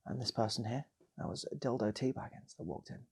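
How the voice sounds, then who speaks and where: A man's voice: mid-range voice, brisk, husky. A man improvising a comic story, rambling and repeating phrases as he acts out characters. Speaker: storyteller, channel host.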